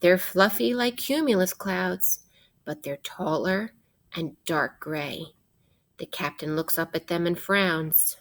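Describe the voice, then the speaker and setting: A woman teacher reading a children's science book aloud in a deliberate way, putting questions directly to young listeners. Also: on the low side.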